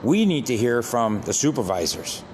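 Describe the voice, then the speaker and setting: A man's voice: mid-range voice, big pitch swings, normal speed, darkish tone, slight background noise. A man from Vietnam, speaking English as a second language, livestreaming an emphatic outdoor rant and repeatedly asking for agreement. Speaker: livestream host. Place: outdoors near a government building. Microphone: phone held by the speaker.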